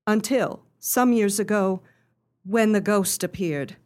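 A woman playing an earnest, genteel young lady in a radio play, telling her story in formal, measured phrases. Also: medium pitch, darkish tone, lightly acted. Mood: earnest, grave.

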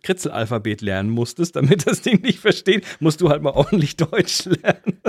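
Lively, teasing podcast co-host man. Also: a little high, a little fast, slightly rough, dry recording, studio quality. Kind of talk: casual chat.

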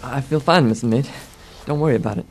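A man playing a calm, forgiving, nerdy wounded teenage boy in a radio drama, earnest and matter-of-fact, with a gravelly voice. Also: medium pitch, fast, soft background music, natural voice. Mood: dazed calm.

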